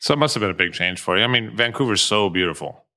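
A man's voice: fairly low pitch, lively intonation, fast talker, pushed and bright. A man speaking as a podcast host and interviewer. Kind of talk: scripted sponsor read and conversational interview.

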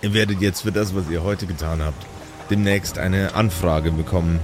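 A man's voice: sits low, fairly even intonation, moderate pace, soft background music, close to an everyday voice. A man voicing a smug, shady male cleric who talks in casual, insinuating slang. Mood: sly, threatening.

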